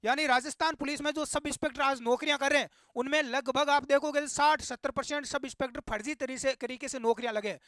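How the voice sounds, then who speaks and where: A man with a very high, sharp, forceful voice addresses his online viewers. He speaks as an indignant commentator, exhorting and driving points home through repetition. The sound is close and dry. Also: fairly even intonation, fast talker, hardly any pauses, no echo. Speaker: YouTube host. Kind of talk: impassioned opinion commentary to viewers.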